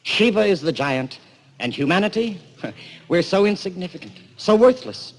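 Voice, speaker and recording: A man's voice: high voice, sing-song, normal speed. Brooding, fervent man obsessed with death. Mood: brooding, grandiose. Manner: theatrical, brooding, fervent speechifying. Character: intense, obsessed husband, worshipper of death and destruction. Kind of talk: 1940s radio drama acting.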